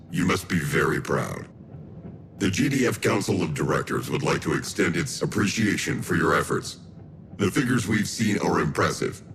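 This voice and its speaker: An actor playing a polite, calculating male official who speaks in a formal, polished way in a game cutscene. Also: sits low. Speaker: official assigning a mission. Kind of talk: video game cutscene acting. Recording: roomy with noticeable background noise.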